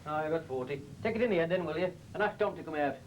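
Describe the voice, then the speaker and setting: A man's voice: fairly high pitch, animated, rapid-fire, close to an everyday voice. A man playing a busy, grumbling shot firer in a coal mine training film, chatting in an offhand, matey working way. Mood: brisk, grumbling. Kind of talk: acted training film dialogue. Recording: noisy old training film soundtrack.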